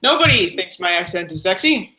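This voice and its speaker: Midwestern woman streamer, bright, lively, joking. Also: lowish voice, brisk. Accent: Midwestern American. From American Midwest. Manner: animated, joking. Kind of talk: casual group chat.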